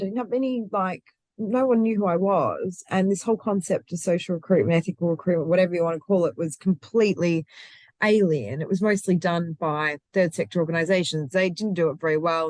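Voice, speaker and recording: A woman's voice: on the low side, a little fast, darkish tone, no echo. A woman talking passionately as an interview guest, often restarting her sentences and laughing easily. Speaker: guest, recruitment founder. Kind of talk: conversational interview.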